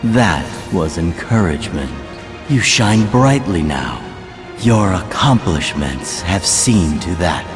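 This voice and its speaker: An actor playing a solemn, mysterious guide in a video game, formal and deliberate as he tells of prophecy. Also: on the low side. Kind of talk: video game cutscene narration.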